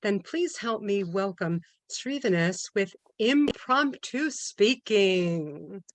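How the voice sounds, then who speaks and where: Woman, online meeting host, slow and formal delivery, dry video-call sound. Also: mid-range voice, animated, no echo. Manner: measured, formal. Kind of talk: introducing a speaker.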